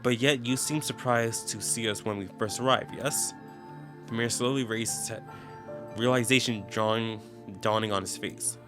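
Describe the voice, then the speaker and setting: A man's voice: mid-range voice, fairly even intonation, moderate pace. A man reading game text aloud as a dramatic narrator in a let's play. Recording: background game music. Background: game music with plucked guitar.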